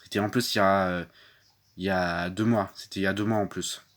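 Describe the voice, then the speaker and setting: A man voicing a young battered wife who speaks softly and haltingly, repeating herself and trailing off. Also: sits low, fairly even intonation. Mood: downcast.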